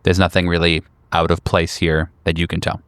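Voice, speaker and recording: A man narrates a tabletop horror game as its game master. His voice is somewhat low, and the sound is dry, with very little room. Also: monotone, fairly quick, a bit bright, clean tone, no echo.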